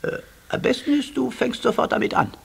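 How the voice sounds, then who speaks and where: An actor voicing a bossy talking raven in a children's audio play, croaky and scolding, given to lecturing. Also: medium pitch, a little fast. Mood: stern, lecturing. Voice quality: croaky, rasping.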